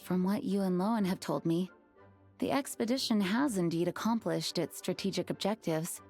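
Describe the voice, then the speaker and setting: A woman playing a dutiful, composed knight leader in a video game, formal and measured in delivery. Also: fairly low pitch, darkish tone, faint music behind. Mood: pensive. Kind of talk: video game character acting.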